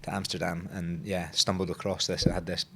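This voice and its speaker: A man hosting a podcast interview, chatting in a relaxed, rambling way. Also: low-pitched, brisk, slightly rough. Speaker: podcast host.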